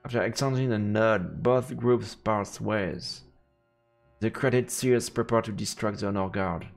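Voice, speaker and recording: A man streaming a visual novel, reading the text aloud as measured, dramatic narration. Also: lowish voice, gravelly, soft background music.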